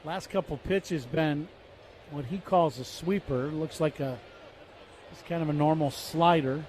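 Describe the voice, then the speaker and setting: Male radio color analyst, high voice, conversational and emphatic, light noise behind. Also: measured pace, a little mellow. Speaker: color commentator.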